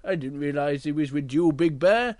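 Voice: medium pitch, expressive, moderate pace, a little mellow, no echo, close to an everyday voice. A storyteller voicing a blunt, possessive but friendly male polar bear in a children's story, speaking emphatically.

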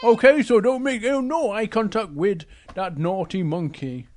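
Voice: mid-range voice, moderate pace, a little mellow, natural voice. A big grown-up bear, voiced by a storyteller, cautious and instructive. The bear admonishes like a careful guardian in a children's bedtime story. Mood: warning.

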